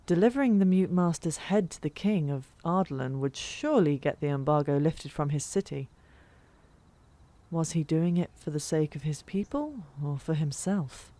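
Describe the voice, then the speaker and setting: A woman reading a fantasy novel as an audiobook narrator, expressive and dramatic, with hushed asides and pauses. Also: low voice, dry recording. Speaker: narrator of a fan-made audiobook. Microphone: old microphone. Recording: music bed, older microphone. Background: background music.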